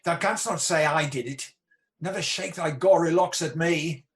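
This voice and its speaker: A man's voice: on the high side, normal speed, lightly acted. An amateur actor playing a guilt-haunted tyrant king with a hospitable surface in a Shakespearean radio play. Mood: terrified, raving.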